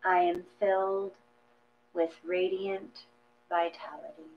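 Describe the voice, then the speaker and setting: A woman guides a meditation in measured phrases. Her voice sits on the low side and has a gravelly creak. The recording is slightly muffled, with a faint hum underneath. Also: steady tone. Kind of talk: slow guided meditation reading.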